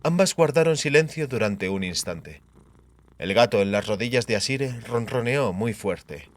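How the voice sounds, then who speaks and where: A man's voice: medium pitch, expressive, a little slow. A man reading scripted fantasy narration as the narrator of an audiobook.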